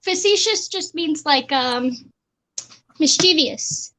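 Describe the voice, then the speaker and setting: Woman in a group chat, explains stuff calm and clear, high voice, noisy mic. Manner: articulate, explanatory, measured. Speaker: discussion participant.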